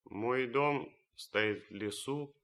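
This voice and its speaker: An actor playing an idealistic, cultured German officer in a radio drama, speaking with solemn, impassioned deliberation. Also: male voice, medium pitch, very slow, a bit bright. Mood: earnest, reflective. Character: idealistic German officer, cultured music lover, ardent and reverent. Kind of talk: theatrical radio drama monologue.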